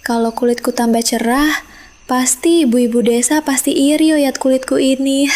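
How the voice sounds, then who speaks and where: A woman's voice: sits high, steady tone, a little slow, a little mellow. A woman voicing a greedy, nagging middle-aged village wife who talks in a whiny, scornful way.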